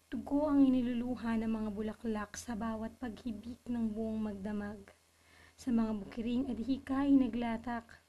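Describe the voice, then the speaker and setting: A woman reciting literary poetry in a solemn manner with measured phrasing. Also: a little high, mellow. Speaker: poetry reader.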